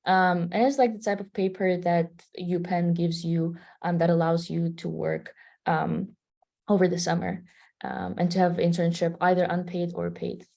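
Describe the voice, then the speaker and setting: Student panelist, young woman from Kazakhstan, mellow and a bit gravelly, talks things through as she goes. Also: lowish voice, little pitch movement, normal speed. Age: 20s. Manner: conversational, thinking aloud between phrases. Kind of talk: panel answer.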